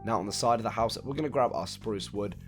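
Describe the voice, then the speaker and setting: A man with a fairly low, croaky voice, raspy from a cold, explains a build at an easy, chatty pace. He counts blocks aloud and shrugs off his own mistakes. Soft music plays quietly underneath. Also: quick pace. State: hoarse, croaky after a cold. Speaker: video game building tutorial host. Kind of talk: casual step-by-step gameplay walkthrough. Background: faint background music.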